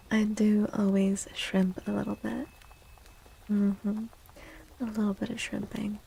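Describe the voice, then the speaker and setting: A woman streamer speaking in a soft, breathy ASMR style, often near a whisper, chatting gently and casually close to the mic. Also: mid-range voice, poor audio. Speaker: live streamer hosting chat. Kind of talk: soft-spoken ASMR, casual live chat.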